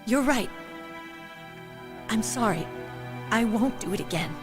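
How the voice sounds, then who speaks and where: Young female soldier, earnest and questioning, loud score behind. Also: a little high, lively intonation, slow pace, music in the background. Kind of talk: video game cutscene acting.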